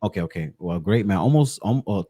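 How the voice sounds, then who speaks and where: Guy hosting a podcast, lowish voice, real dry sound, chats informal and hypes up the guest, repeats himself. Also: lively intonation, brisk, mellow, husky, no echo, muffled recording. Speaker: podcast host. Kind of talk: casual conversational interviewing.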